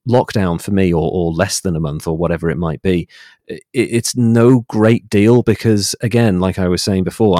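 British guy on a podcast, lowish voice, measured and articulate, really dry sound. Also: darkish tone, no echo. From United Kingdom. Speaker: podcast guest, writer and voice-over artist. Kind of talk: reflective interview conversation.

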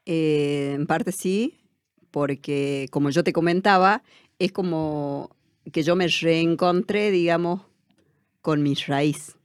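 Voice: mid-range voice, takes it slowly, smooth, dry recording, studio quality. A person with an Argentine Spanish accent, speaking as an interview guest in a reflective way, with many fillers and restarts.

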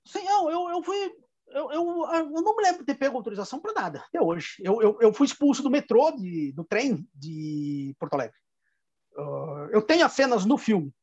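A man's voice: very high voice, very animated, moderate pace, darkish tone, no echo, dull audio. A man with a Rio Grande do Sul accent, a filmmaker telling stories in a casual interview, with many restarts and laughs. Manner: rambling anecdotes with frequent restarts and laughs. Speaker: filmmaker guest.

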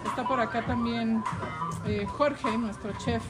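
A woman's voice: on the high side, steady tone, unhurried, loud music behind the voice, over a noisy crowd. A Mexican woman hosting at an outdoor event, chatting casually with many fillers and translating for viewers. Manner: chatty, many fillers, switches to English to ask questions. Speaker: host.

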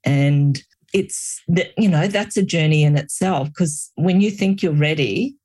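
A middle-aged woman speaks as a guest in an interview, recounting her own story with earnest reflection. She talks in an even, conversational way about her work as a healer. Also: low voice, unhurried.

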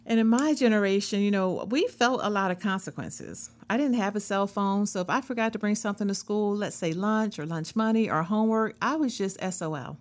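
A woman's voice: mid-range voice, fairly quick, few pauses. A woman in her fifties, a therapist hosting a show, talking through ideas in a conversational, earnest way with frequent asides. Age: 50s. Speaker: therapist and podcast host. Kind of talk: reflective solo talk.

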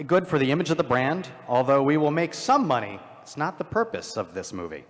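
Animated man on a panel, reverberant hall. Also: a little high. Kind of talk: casual, joking panel talk. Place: large convention panel room. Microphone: stage microphone and PA. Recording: live convention hall, long reverb.

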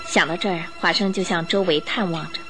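A woman narrating a radio drama in an expressive, scripted storytelling style. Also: lowish voice, fairly quick, faint music behind.

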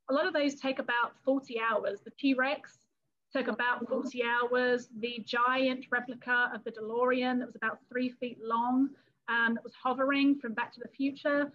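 A woman's voice: high voice, a bit bright, highs cut off. A woman in her thirties from the London area of England, with an English accent, chatting as a guest in an interview and telling stories with little quoted scenes and frequent laughs. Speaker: cake artist and business owner, guest. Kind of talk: casual interview talk.

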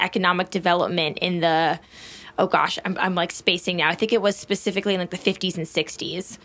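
Bright-voiced woman podcast host. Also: fairly low pitch. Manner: enthusiastic, chatty. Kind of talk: casual interview and scripted intro.

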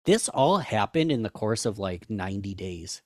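A man's voice: lively intonation, normal speed, a little mellow, no room sound, studio quality. A man guest on a podcast, talking candidly and self-deprecatingly in casual chat, rambling with restarts. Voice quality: higher-pitched for a man.

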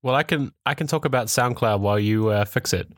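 A man's voice: medium pitch, a little fast, dry recording, studio quality. A man hosting a casual tech podcast, stuffed up from a cold, chatty and laughing often. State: congested with a cold. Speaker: podcast host. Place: Europe.

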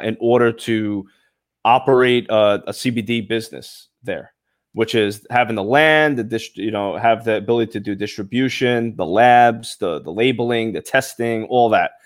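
A man's voice: medium pitch, no room sound, studio quality. A man from Brooklyn with a Brooklyn accent, hosting a casual podcast chat in a laid-back, slangy way. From Brooklyn, USA.

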